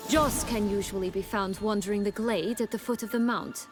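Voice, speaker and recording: An actress playing a proud, bitter exiled noblewoman in a video game, with haughty, formal, measured speech. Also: medium pitch, fairly even intonation, music in the background, lightly acted. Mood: brisk, imperious.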